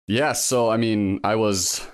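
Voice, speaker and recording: A man's voice: on the low side, fairly even intonation, takes it slowly, clean tone, dry recording, clean studio sound. A man in his thirties, a guest in a casual interview, talking in a reflective, rambling way with many fillers and restarts. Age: 30s. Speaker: guest, law school applicant.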